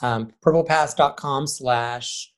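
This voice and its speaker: A man's voice: mid-range voice, takes it slowly, fairly bright tone. Emphatic man chatting in an interview. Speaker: high school theater director, interview guest. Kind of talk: conversational interview answers.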